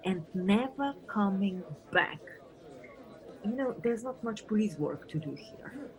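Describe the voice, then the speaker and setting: A woman's voice: mid-range voice, animated, slow pace, poor audio. A woman acting in an audio play as an irritable, weary Flemish police officer, dismissive and sardonic. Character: gruff, irritable female police officer from Flanders, weary, scornful of tourists.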